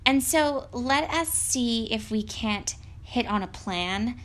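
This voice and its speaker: A woman voicing a young princess who is anxious but quick-witted, soft and slightly higher. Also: animated. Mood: scheming. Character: gentle young princess, worried and clever.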